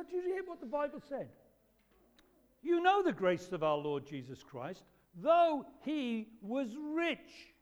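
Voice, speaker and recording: A man with a loud voice, preaching an animated talk to children at a church service. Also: very high-pitched. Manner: lively, emphatic, storytelling to children. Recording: echoey hall reverb.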